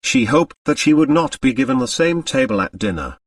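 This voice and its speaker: A man's voice: medium pitch, wildly swooping pitch, a little fast, vocal fry, no room sound, recorded from a distance. A man narrating a novel as an audiobook, reading from a script.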